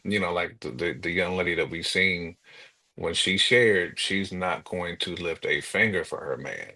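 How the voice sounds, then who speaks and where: A man's voice: low-pitched, measured pace, pushed and bright, rough recording. An American man co-hosting a podcast, giving opinions in an informal, slangy, conversational way. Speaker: podcast co-host. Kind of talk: casual chat, giving opinions.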